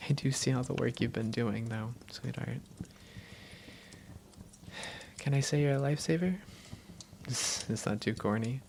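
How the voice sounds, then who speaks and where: A woman playing an affectionate, self-doubting vampire girlfriend in a cozy roleplay audio, speaking in an intimate, teasing and sometimes halting way. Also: very deep voice, gravelly, a little mellow, slight background noise. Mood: playful, affectionate, then hesitant, vulnerable. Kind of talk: cozy roleplay audio, one-sided conversation.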